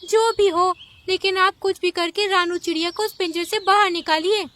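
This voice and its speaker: Tiny, squeaky cartoon youngster. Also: female voice, fairly even intonation, moderate pace, a bit bright, a little hiss or hum. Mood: urgent, pleading. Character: small earnest young bird or goat kid, innocent. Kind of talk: Hindi children's cartoon voice acting.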